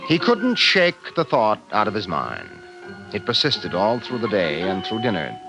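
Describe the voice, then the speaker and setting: A man narrating a vintage 1950s radio sci-fi drama in a grave, deliberate, suspenseful storytelling manner. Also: lowish voice, wildly swooping pitch, heavily acted. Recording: muffled vintage radio broadcast recording. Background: dramatic music.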